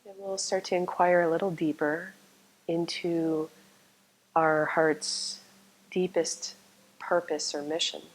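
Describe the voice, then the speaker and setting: A woman leading a guided meditation as a yoga nidra teacher, speaking calmly and soothingly with long, unhurried pauses. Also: on the low side, poor audio.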